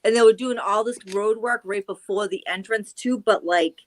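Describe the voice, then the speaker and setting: A woman's voice: medium pitch, normal speed, dry recording, low-quality recording. A woman from the North Shore, chatting as co-host and filling her talk with "like". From Massachusetts North Shore. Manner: chatty, lots of "like", swears casually. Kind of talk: casual chat.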